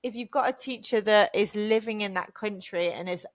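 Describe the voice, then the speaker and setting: A woman's voice: medium pitch, moderate pace. A woman speaking as an interview guest, thinking aloud in a tentative, conversational way. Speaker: interview guest, language business founder.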